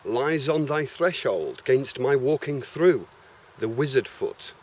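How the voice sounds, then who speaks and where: A man voicing a scheming male devil in a slow, stagy theatrical reading. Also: mid-range voice, lively intonation, darkish tone. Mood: sly.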